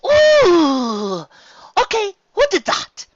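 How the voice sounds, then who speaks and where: A man voicing a prim, fastidious, dramatic female unicorn in a storybook reading, in dull audio. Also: very high-pitched, huge pitch swings, dry recording, pitched above the performer's own voice, full character voice. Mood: indignant.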